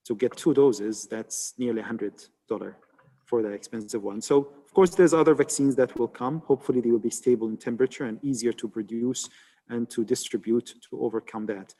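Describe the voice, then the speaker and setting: A man's voice: medium pitch, mellow, in a large hall. A man from Egypt who researches malaria vaccines, answering on a webinar panel in an explanatory way with many "uh" and "like" fillers over a video call.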